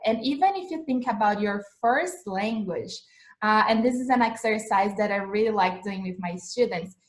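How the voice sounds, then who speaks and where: A young woman with a mid-range voice teaches English over a laptop webcam in a chatty, animated way. Her speech carries a noticeable echo from the small room she sits in. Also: medium pitch. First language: Portuguese. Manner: chatty, animated, gestures a lot while explaining. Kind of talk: live-streamed English lesson. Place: small indoor room, webcam in front of a plain wall. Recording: echoey room sound.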